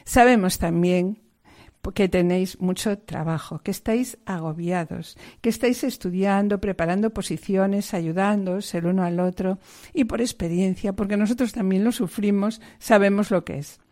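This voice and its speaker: Woman host, mellow voice, formal devout hosting, measured delivery. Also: mid-range voice.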